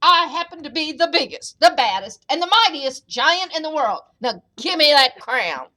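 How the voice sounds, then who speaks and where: A woman voicing an enormous, childish male bully giant in a storybook, loud and blustery. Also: high-pitched, takes it slowly. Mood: boastful. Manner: bellowing, boastful. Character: huge boastful bully giant, male, childish before Mama.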